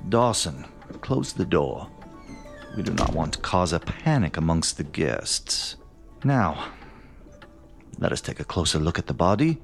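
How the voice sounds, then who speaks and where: Pompous detective with wildly swooping delivery. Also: male voice, deep voice, big pitch swings, vocal fry, soft background music, heavily acted. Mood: composed, commanding. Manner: theatrical, haughty, deliberate, given to hushed musing. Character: pompous, theatrical male master detective, aloof and self-assured. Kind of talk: comic period murder-mystery audio drama acting.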